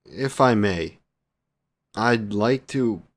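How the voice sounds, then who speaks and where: A man's voice: on the low side, extremely slow. A man playing a devoted, wry vampire boyfriend in a scripted romantic audio roleplay, speaking in ornate, formal phrases. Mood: ardent, reverent.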